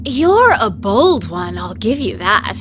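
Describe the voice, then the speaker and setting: A woman's voice: medium pitch, very animated, takes it slowly, over music. An actress playing a proud, hot-tempered young female knight in a video game, imperious and scolding. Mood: haughty.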